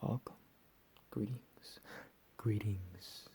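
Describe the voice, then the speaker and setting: A man playing a deranged, menacing captor in a whispered ASMR roleplay, profane and slow with drawn-out emphasis. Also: lowish voice, warm tone. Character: deranged menacing male captor, recently escaped asylum.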